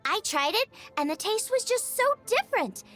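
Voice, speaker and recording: A woman voicing a bubbly, eager, food-obsessed young woman chef in an expressive video game style, chatty and full of exclamations. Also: extremely high pitch, normal speed, exaggerated voice. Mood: chatty, admiring. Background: music.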